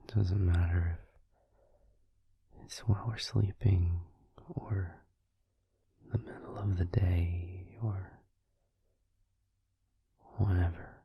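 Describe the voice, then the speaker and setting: A hushed, very breathy vampire boyfriend, acted by a man, murmurs low and close in a dry space. He is gentle and tender, soothing his partner in an intimate roleplay audio. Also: steady tone, dry recording, lo-fi sound, exaggerated voice. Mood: tender, devoted. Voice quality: breathy, hushed, mostly whispered. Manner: soothing, tender, slow and reassuring. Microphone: close microphone.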